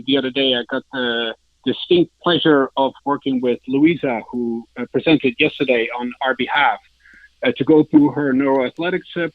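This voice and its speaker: A man with a Dutch accent talks as an interview guest in sales. His delivery is halting and informal, at an average male pitch. The recording is dry and somewhat dull, like a band-limited call. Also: no echo. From Netherlands.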